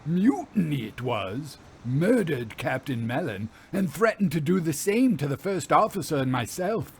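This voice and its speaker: A man's voice: on the high side, big pitch swings, unhurried, darkish tone, slightly rough, voice pushed up, heavily acted. A man voicing a defiant, scornful old sea captain in a story, with indignant, archaic and emphatic speech.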